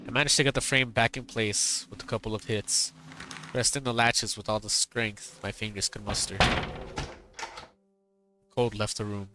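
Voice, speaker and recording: A male streamer reading a visual novel's dialogue aloud, his voice tired and strained, halting and repeating words between laughs. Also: lowish voice, steady tone, background noise. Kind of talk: reading game dialogue aloud, then casual chat.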